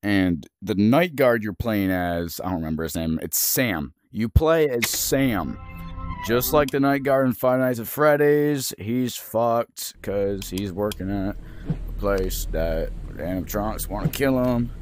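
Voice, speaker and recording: A man's voice: fairly low pitch, lively intonation, measured pace, slight background noise. A man casually commentating as he plays a horror game, swearing often and reacting with sudden jumps. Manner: swears often, jumpy reactions, explains mechanics aloud. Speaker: gaming youtuber. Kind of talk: casual let's-play commentary.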